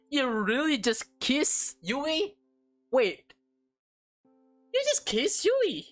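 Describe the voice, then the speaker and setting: A woman streamer reads a visual novel aloud at a slow pace, tripping over and repeating words. She drops in excitable reactions of her own. The sound is dry, with faint music underneath. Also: medium pitch, dry recording. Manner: stumbles and repeats words while reading. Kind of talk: reading game text aloud with excited asides.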